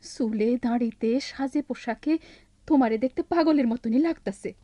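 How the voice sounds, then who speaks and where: A woman's voice: on the high side, moderate pace, mellow, no echo, natural voice. A woman playing a hurt, abandoned village wife and mother in a radio drama, plaintive and insistent, with a rural Bangladeshi Bengali dialect. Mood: reproachful. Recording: dull lo-fi radio recording with music.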